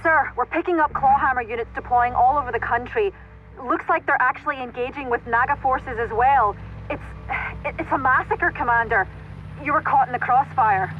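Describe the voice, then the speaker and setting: A woman's voice: high voice, normal speed. Over a dull, band-limited radio link with faint noise, an earnest intelligence operator gives precise military reports. She sounds alert and formal, like a support officer in a game cutscene. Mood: urgent, concerned. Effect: radio filter. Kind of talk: video game cutscene voice acting.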